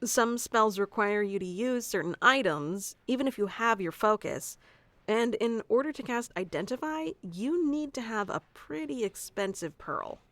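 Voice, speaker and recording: A woman's voice: fairly high pitch, animated, normal speed, a bit bright, no echo. A woman playing a haughty, easily flustered tsundere young wizard mentor in an ASMR roleplay, bossy and teasing, stammering when flustered. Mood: casual, explaining. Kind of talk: ASMR roleplay acting addressed to the listener.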